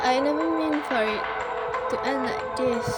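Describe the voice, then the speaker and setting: A woman's voice: high voice, unhurried, loud music behind the voice. A woman acting a distressed, indignant wife and mother in an amateur radio drama, emotional and pleading. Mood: shaken. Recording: clear background noise.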